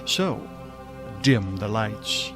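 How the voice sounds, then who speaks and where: Animated male announcer over music. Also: fairly low pitch, drawn-out words. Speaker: show announcer. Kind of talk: scripted radio show introduction.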